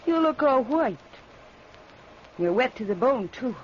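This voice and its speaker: Anxious backwoods woman, guilty accomplice, high swooping voice, old radio drama, muffled recording. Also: very animated, unhurried, a little hiss or hum. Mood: uneasy. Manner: fretful, folksy rural speech.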